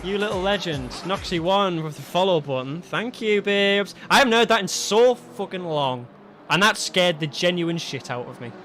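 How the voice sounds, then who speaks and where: A British man with a slightly high voice commentates on an online sim race. He is colloquial and matey, slipping between race calls and jokey banter. There is faint car noise on the stream. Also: expressive, moderate pace, a bit bright. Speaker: esports livestream race commentator. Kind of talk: live sim racing commentary with casual banter. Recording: noisy stream with car engine sound.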